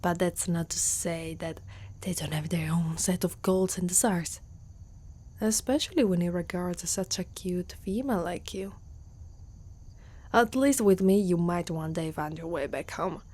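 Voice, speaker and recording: A woman's voice: lowish voice, warm tone, breathy edge. A woman with an Italian accent playing a proud, guarded but protective centaur girl in an ASMR roleplay, often dropping into whispers. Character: proud, guarded young female centaur warrior, gruffly protective.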